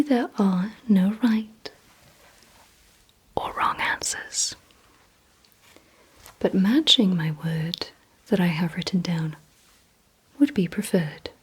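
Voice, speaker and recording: A woman speaks in a very breathy whisper, mostly without voice. Her delivery is slow and deliberate, with a soft, mellow tone. She gives hushed instructions straight to the listener as an ASMR roleplay. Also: medium pitch, poor audio. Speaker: ASMR performer.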